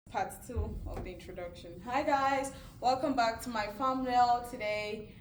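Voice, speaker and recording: A woman's voice: a little high, moderate pace. Young female host, chatty and loose, echoey room with faint hiss. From Nigeria. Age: young adult. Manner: chatty, lots of "like" and "you know". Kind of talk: casual group chat.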